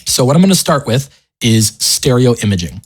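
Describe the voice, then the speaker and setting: A man hosting a casual software tutorial, talking informally with wry asides and interrupting himself as he goes. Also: medium pitch, big pitch swings, normal speed, slightly rough. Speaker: tutorial host.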